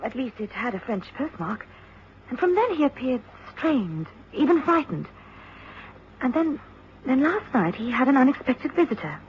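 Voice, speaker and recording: An actress playing a well-spoken, composed young English daughter in mourning, in a radio drama. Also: female voice, sits high, very animated, normal speed. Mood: anxious recounting. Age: young adult. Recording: dull, faintly noisy old radio recording.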